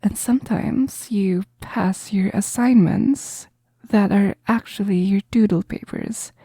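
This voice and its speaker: A woman playing a caring, understanding math professor in a roleplay audio, speaking softly and gently with a breathy, reassuring delivery. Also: mid-range voice, slow, no room sound. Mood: gentle concern. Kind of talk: soft-spoken roleplay audio, whispery.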